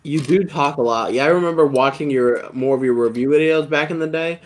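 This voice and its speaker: A young man with a slight lisp, chatting casually as a video host, self-deprecating and trailing off quietly at the ends of his phrases. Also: fairly high pitch, fairly quick, slight background noise. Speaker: YouTube Lego channel host. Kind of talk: casual chat.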